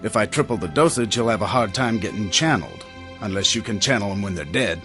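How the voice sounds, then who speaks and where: A man acting as a rough henchman in a radio play. He has a somewhat low voice and talks bluntly and plainly, with music behind him. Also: animated, quick pace, natural voice. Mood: gruff. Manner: blunt, working-class speech. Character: gruff male henchman guarding a captive.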